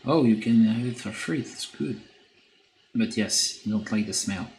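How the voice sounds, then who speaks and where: A man with a French accent talks slowly and hesitantly, filling pauses as he paints and answers chat on a stream. His voice sits low with a gravelly edge. The room sounds echoey, with a faint hiss behind him. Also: a little mellow. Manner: hesitant, many filler pauses, reads chat aloud. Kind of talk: casual live-stream chat while painting.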